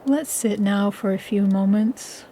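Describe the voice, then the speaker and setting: A woman leading a scripted guided meditation in a soothing, measured, instructional manner. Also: mid-range voice, dark tone, a little hiss or hum.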